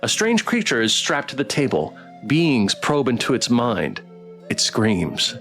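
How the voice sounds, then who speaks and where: A man streaming a visual novel, reading it aloud dramatically and adding playful, expressive commentary. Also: mid-range voice, measured pace, soft background music.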